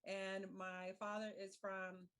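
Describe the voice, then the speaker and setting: A woman moderating and speaking on a discussion panel, talking in a measured, careful way. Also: mid-range voice, little pitch movement, a bit bright, clean tone.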